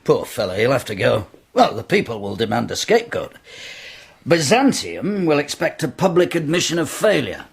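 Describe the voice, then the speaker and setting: A cynical Roman kingmaker for radio drama. His voice is gravelly and husky at a middle pitch, and he speaks with wry, theatrical assurance. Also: big pitch swings, normal speed, full character voice. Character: Ricimer, cynical powerful Roman kingmaker, dryly confident.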